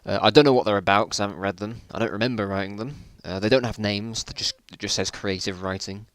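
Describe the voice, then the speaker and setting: A man's voice: on the low side, fast talker. A man reading his own old stories aloud in a casual dramatic style, with self-mocking, bemused asides.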